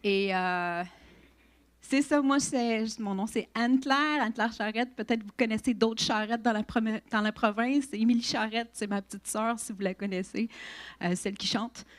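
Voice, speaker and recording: Québécois woman in her fifties, guest church speaker, higher voice, chatty with restarts. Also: moderate pace. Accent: Québécois French. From Quebec. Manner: warm, chatty, laughs often, many restarts and fillers. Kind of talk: church introduction and testimony.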